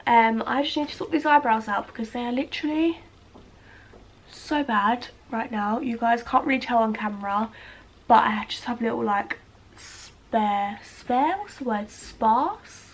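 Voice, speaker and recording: A woman's voice: on the high side, a little slow. A young British woman vlogging casually from home, congested and nasal from a cold, rambling with lots of fillers while grooming her eyebrows. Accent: British English. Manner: chatty, rambling, filler-heavy. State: congested, blocked nose. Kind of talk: casual chat to camera. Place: at home, indoors.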